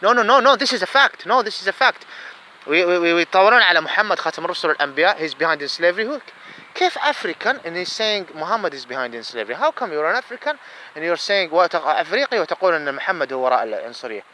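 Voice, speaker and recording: A man's voice: high voice, sing-song, rapid-fire, pushed and bright, a little hiss or hum, rough recording. A man with an Arabic accent giving a heated solo monologue, repeating himself and mixing in Arabic phrases. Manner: heated, repeats words, switches between English and Arabic.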